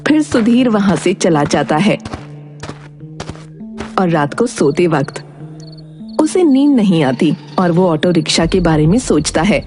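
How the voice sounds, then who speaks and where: Animated, lowish-voiced woman storyteller. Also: a little slow, long pauses. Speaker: narrator. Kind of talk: scripted children's story narration. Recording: prominent music behind the voice.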